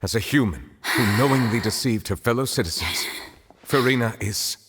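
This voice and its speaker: A man's voice: mid-range voice, background noise, natural voice. Man playing a grave judge, reverberant hall. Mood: solemn. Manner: solemn, measured, authoritative. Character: grave, formal male chief justice. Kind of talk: formal video game acting. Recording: long hall reverb, faint music.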